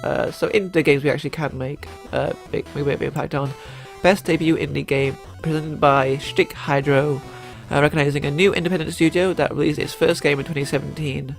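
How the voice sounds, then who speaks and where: A young man talks through his gaming picks in a gravelly, slightly high voice. He is stuffed up from a cold and rambles, restarting his sentences and filling pauses with "uh". Faint music plays behind him. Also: steady tone. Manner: rambling, many fillers and restarts. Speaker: YouTuber. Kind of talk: casual gaming commentary.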